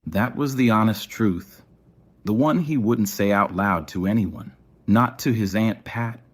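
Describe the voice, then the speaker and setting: Low-voiced male story narrator. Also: a little slow. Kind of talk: scripted audio story narration.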